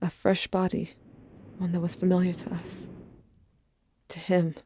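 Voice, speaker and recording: A woman playing a weary, somber narrator, telling a first-person story in measured audio drama narration. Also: fairly low pitch, completely flat delivery, mellow, lightly acted. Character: somber, weary narrator recounting haunted memories. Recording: faint ambient noise.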